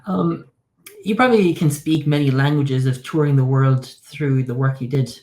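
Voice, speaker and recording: A man's voice: mid-range voice, normal speed, darkish tone. A man hosting an interview show, putting casual questions to a guest. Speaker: podcast host, interviewer.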